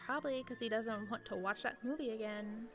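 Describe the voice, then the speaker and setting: A woman's voice: fairly high pitch, a little fast, a bit bright, faint music behind, close to an everyday voice. A woman playing a blunt, callous supervillain in a comedic radio play, dry and sarcastic. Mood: annoyed, sarcastic.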